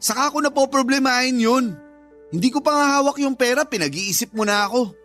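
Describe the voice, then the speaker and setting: An actor playing a proud, insecure working-class young husband who argues defensively in a radio drama. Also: male voice, very high voice, brisk, fairly bright tone. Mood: dismissive. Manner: dismissive, defensive, argumentative. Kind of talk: Tagalog radio drama acting. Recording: music bed behind the voice.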